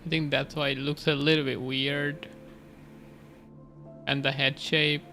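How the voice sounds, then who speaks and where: A man's voice: fairly high pitch, little pitch movement, a little slow, over music. A man doing casual live game commentary as a streamer, musing informally and reacting to the story.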